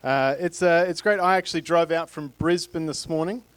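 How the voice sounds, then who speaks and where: A man in his thirties with an Australian accent, speaking as a guest at a church and holding a handheld mic, halting and full of fillers and restarts. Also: a little high, a bit bright, clear, resonant tone, dry recording. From Queensland, Australia. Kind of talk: church talk to a congregation. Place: church stage with a live congregation.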